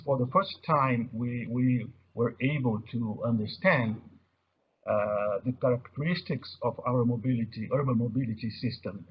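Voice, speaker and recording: A very husky, breath-roughened man speaks with a Brazilian accent, as a native Portuguese speaker. He explains his material in a halting webinar presentation, often repeating words. The audio is somewhat muffled and lacking in treble. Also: medium pitch. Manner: halting, repeats words while searching for phrases. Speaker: webinar presenter, mobility observatory coordinator. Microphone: online webinar connection.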